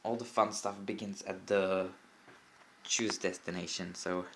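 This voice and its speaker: A man's voice: low voice, fairly even intonation, measured pace, a bit bright. Young Czech man, lo-fi phone tutorial. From Czech Republic. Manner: rambling, self-correcting, mutters asides to the camera. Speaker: tech hobbyist demonstrating an install. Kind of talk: casual tutorial commentary. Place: indoor room at a desk by a window. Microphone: old smartphone camera mic. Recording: lo-fi old phone recording, faint room noise.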